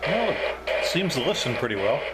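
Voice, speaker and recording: A man's voice: medium pitch, sing-song, fairly quick. A man giving a casual, off-the-cuff hands-on equipment review, thinking aloud in a hesitant way. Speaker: reviewer demonstrating radio equipment. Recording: clearly noisy background.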